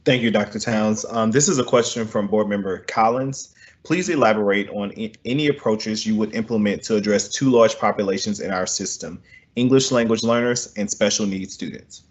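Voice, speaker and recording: A man on a school board reads out a question in a formal, plain manner. His voice sits fairly low. He speaks over a video call. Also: normal speed. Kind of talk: reading an interview question.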